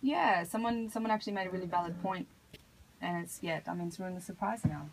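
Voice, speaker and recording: An Australian woman with a husky voice, talking casually as an artist being interviewed on radio. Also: on the low side, quick pace, slight background noise, poor audio. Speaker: interview guest, hip hop artist. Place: radio studio.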